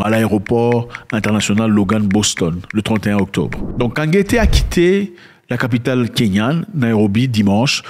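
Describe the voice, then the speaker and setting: A man giving casual spoken commentary, emphatic and sarcastic, who repeats words and swings between loud and quiet. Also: mid-range voice, a little hiss or hum. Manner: sarcastic asides.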